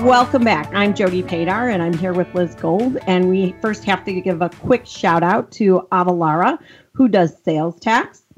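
A woman's voice: on the low side, moderate pace, soft background music. A woman from Chicago with a Chicago accent, co-hosting an interview and asking long, friendly questions. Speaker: podcast co-host.

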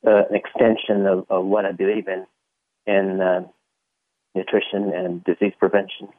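A middle-aged man from the Philippines and Singapore, answering interview questions haltingly with many pauses. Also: low voice, little pitch movement, no echo. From born in the Philippines, raised in Singapore. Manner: halting, hesitant, pauses often. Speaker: interview guest, chiropractor. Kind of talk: conversational radio interview. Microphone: telephone. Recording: tinny phone line, dry.

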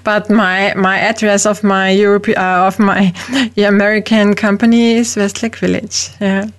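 A woman's voice: medium pitch, flat delivery, moderate pace. A woman from Austria, a native German speaker with an Austrian German accent, hosting a radio talk show in careful, hesitant English.

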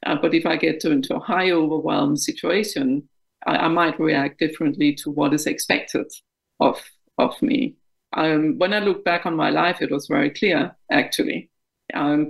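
A woman's voice: sits low, creaky, rough recording. A woman in her fifties from Denmark with a Danish accent, speaking frankly and reflectively as an interview guest, laughing at herself. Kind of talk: candid interview conversation.

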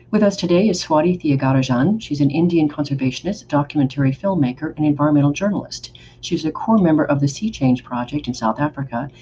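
A woman's voice: low-pitched, dark tone. Earnest American woman hosting a podcast. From Oregon, USA. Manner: earnest, enthusiastic, some restarts. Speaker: podcast host. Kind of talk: warm interview chat. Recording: slightly dull audio with faint hum.

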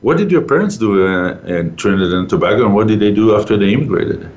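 A man hosting a conversational radio interview in a halting, informal way. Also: fairly low pitch, lively intonation, darkish tone, dull audio. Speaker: talk show host.

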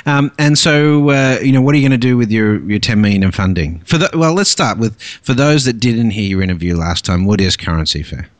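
A man's voice: on the low side, a little fast, few pauses, no echo. A man from Australia hosting a podcast, chatting casually with lots of fillers and restarts. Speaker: podcast host. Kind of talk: casual interview chat.

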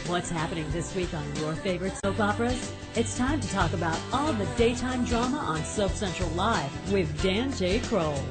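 A woman's voice: mid-range voice, lively intonation, normal speed. A woman reading a scripted show intro in an upbeat announcer delivery. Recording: loud music bed.

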